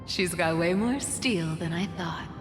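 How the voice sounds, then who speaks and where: A woman playing a worldly, nimble demigod scout in a video game, talking in a wry, casual, unhurried manner. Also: fairly low pitch. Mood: wry, cool. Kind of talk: dramatic game voice acting. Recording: reverberant hall, music behind.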